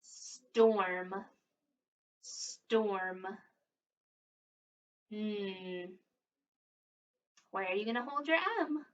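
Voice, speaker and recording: A woman leading story time and a lesson for young children, encouraging and exaggerated, pausing as if for their answers. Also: medium pitch, lively intonation. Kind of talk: read-aloud and interactive lesson for young children. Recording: dull, distant microphone sound.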